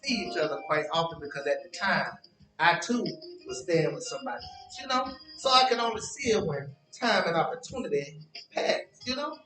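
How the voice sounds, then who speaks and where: A man's voice: fairly high pitch, animated, moderate pace, close to an everyday voice. An actor playing a sly, gossipy, mischievous man in a stage play, spinning folksy anecdotes in an American accent. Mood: amused, wistful. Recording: echoey stage, distant mic, music.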